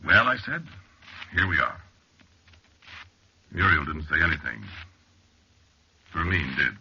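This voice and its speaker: An actor playing a wry, stubborn researcher, telling his story in a measured, conversational way on a 1940s radio drama. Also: very deep voice, sing-song, creaky, breathy edge, exaggerated voice. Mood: wry, confiding. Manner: conversational, measured, dry understatement. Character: male cliff-dwelling researcher, wry, confiding, stubbornly unafraid. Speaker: narrator and lead actor. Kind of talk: 1940s radio drama first-person narration. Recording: muffled, noisy vintage radio recording.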